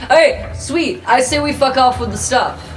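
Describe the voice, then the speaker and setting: A woman's voice: high-pitched, moderate pace, recorded from a distance. Flippant woman, off-mic, music behind. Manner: flippant, blunt. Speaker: tabletop game player. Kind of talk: casual improvised roleplay chat. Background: background music.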